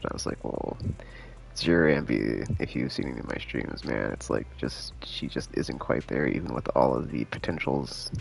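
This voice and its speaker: A man chatting casually on a live game stream in a laid-back, slangy, rambling way, thinking out loud, with a gravelly voice. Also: very deep voice. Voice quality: gravelly, creaky.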